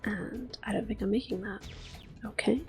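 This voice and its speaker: A woman giving gentle, unhurried ASMR gameplay commentary in a soft, whispered voice, reassuring and close to the microphone. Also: mid-range voice, vocal fry, faint music behind. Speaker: ASMR gaming host.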